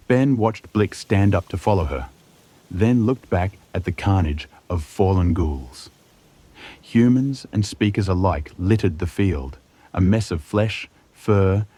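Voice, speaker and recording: A man's voice: sits low, mellow, husky, dry recording. A man reading scripted fantasy narration as a storyteller, dramatic and suspenseful.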